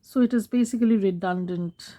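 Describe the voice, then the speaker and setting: A woman hosting a craft tutorial, talking casually while she works, her voice hoarse and fading, and she keeps clearing her throat. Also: medium pitch. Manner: soft, unhurried, frequent throat clearing. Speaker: craft channel host.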